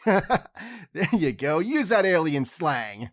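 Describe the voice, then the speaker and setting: An actor playing a proud alien hero with an expressive, declamatory way of talking. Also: male voice, high voice, slow, exaggerated voice. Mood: relaxed. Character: proud alien hero giving a public speech.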